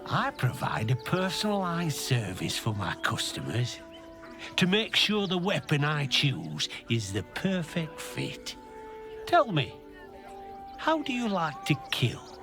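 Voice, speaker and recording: A sinister, insinuating weapon merchant. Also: male voice, on the high side, wildly swooping pitch, heavily acted. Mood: welcoming. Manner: smooth, insinuating, unhurried. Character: sinister male weapon merchant probing killers. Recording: game audio with music underneath.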